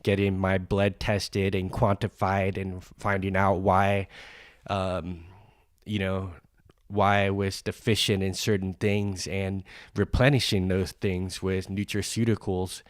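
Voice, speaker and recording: A man's voice: sits low, little pitch movement, takes it slowly, no echo, studio quality. A man hosting a podcast, stuffed up from a cold, rambling with many fillers as he introduces his guest. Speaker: podcast host.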